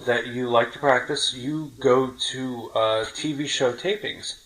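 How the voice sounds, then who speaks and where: A man's voice: medium pitch, measured pace, a bit bright, poor audio. A man from New York City hosting a podcast, chatting casually with a friend as he asks questions. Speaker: podcast host interviewing a friend. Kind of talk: casual chat.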